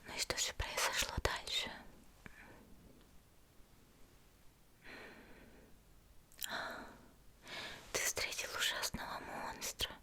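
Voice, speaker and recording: A woman whispering in an ASMR roleplay as a gentle, composed young female demon slayer healer, slow, soothing and politely teasing, close to the microphone. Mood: gently curious.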